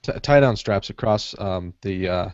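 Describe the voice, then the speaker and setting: A man's voice: low-pitched, moderate pace, clear, resonant tone. A man co-hosting a podcast, telling anecdotes in casual chat and acting out what people said. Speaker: podcast co-host. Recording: dull lo-fi audio, roomy echo.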